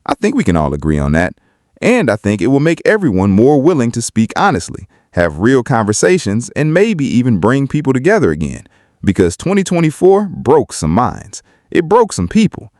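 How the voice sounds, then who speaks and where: A man's voice: mid-range voice, wildly swooping pitch, moderate pace, darkish tone, no room sound, clean studio sound. A man giving opinionated video commentary in an emphatic, argumentative way. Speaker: video commentator on hip-hop.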